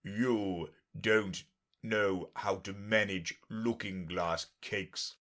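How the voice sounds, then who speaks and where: Man playing a haughty, slow-talking storybook unicorn. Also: on the low side, fairly even intonation, a bit bright. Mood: smug. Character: haughty dreamy unicorn, eager, sly and boastful. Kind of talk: storybook character reading.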